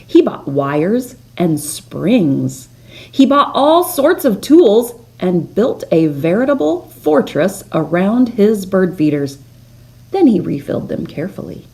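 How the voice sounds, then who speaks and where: A woman narrates a children's story as a read-aloud host. Her delivery is slow and deliberate, and her pitch moves widely as she leans on key words for drama. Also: very animated, dark tone. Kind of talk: expressive children's picture book narration.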